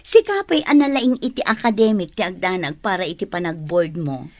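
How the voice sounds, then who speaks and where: A woman's voice: lowish voice, lively intonation, a little fast, a little mellow, no echo. An actress playing an encouraging, confident woman in a Filipino radio drama, speaking reassuringly. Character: encouraging, confident female cousin. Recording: dry studio, music underneath.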